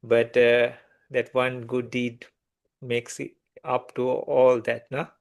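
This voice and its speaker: A man whose first language is Sinhala, a meditation teacher answering gently and hesitantly, with many fillers. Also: medium pitch, monotone, slow, vocal fry. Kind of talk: conversational interview answers.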